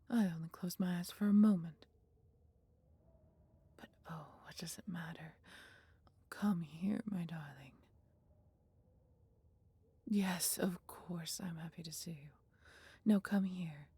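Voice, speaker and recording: A woman voicing a gentle, wise dragon in an intimate audio roleplay, with a breathy, half-whispered voice and measured, formal phrasing. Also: fairly low pitch, exaggerated voice. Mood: tender, affectionate. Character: gentle, wise female dragon, fond of her human. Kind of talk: slow, intimate roleplay acting addressed to listener.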